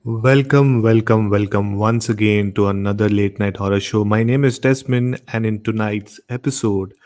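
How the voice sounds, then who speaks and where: A man's voice: fairly low pitch, fairly even intonation, moderate pace, a little mellow. A man from Goa with an Indian accent hosts a late-night horror show, speaking off the cuff with repetitive, emphatic phrasing. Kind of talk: casual hosting, unscripted introductions.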